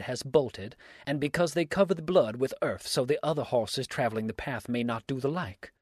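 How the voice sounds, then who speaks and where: A man's voice: medium pitch, a little mellow, no echo, lightly acted. A defiant villain from a storybook, acted out by a male reader, sneering and theatrical. He speaks in mocking, sarcastic exclamations, in a closely recorded, dry sound. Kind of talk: dramatic storybook reading.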